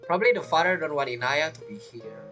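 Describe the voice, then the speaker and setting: A woman's voice: sits low, expressive, fast talker, fairly bright tone, soft background music. A woman doing casual gameplay commentary, chattily talking back to the game's characters. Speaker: game streamer.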